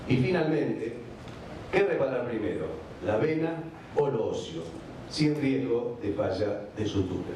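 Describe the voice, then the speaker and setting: Argentine surgeon lecturing in an echoey hall. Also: male voice, medium pitch, expressive, recorded from a distance. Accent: Argentine Spanish. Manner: measured, with frequent hesitation fillers. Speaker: vascular surgeon giving a conference talk. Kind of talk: medical conference lecture. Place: large conference hall. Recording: echoey hall recording from afar, background noise.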